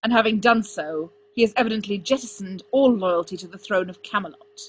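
A woman's voice: medium pitch, a little slow, slight background noise, close to an everyday voice, poor audio. Woman acting a stern, suspicious older king. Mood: snapping, suspicious. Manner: stern, accusing, snapping. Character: hard, suspicious, angry older king and father.

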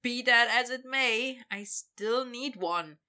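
Victor, a narrator's dry, stubborn, bright-voiced human captive. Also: mid-range voice, slow, voice pushed up. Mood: firm, exasperated. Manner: dry, firm, deadpan.

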